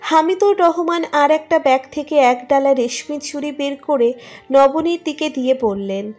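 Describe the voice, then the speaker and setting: A woman's voice: sits high, moderate pace, darkish tone. A woman reading a scripted romantic story as its narrator. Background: music under the narration.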